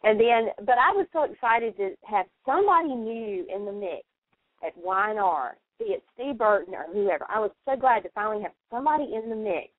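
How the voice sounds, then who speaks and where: A woman's voice: mid-range voice, expressive, fairly quick, dry recording. A woman co-hosting a radio show, chatting casually as a fan in a rambling way. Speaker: radio co-host. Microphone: phone call. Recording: dry, thin telephone line.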